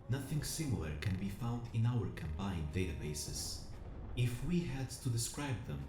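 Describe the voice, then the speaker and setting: A man voicing a vast collective machine intelligence in an audio drama, narrating in a solemn, measured, formal and coldly analytical manner. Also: medium pitch, natural voice. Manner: measured, formal, speaking as a plural we. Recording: roomy echo with music behind.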